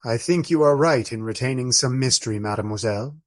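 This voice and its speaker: A man playing an elderly, dignified friar in a radio play, measured, courteous and formal in his speech. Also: medium pitch, no echo, lightly acted. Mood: solemn, courteous. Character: elderly dignified Trappist friar, once an adventurer.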